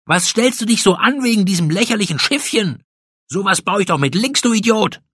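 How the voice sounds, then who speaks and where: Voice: mid-range voice, expressive, fairly quick, fairly bright tone, breathy edge, dry recording, clean studio sound, pitched above the performer's own voice. A narrator voicing a boastful, scornful young male animal in a children's storybook. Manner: cocky, scornful.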